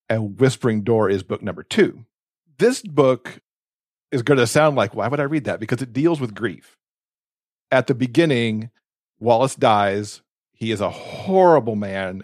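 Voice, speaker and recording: A man's voice: medium pitch, darkish tone, no room sound, clean studio sound. A man co-hosting a podcast, talking casually and enthusiastically about book recommendations. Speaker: podcast co-host.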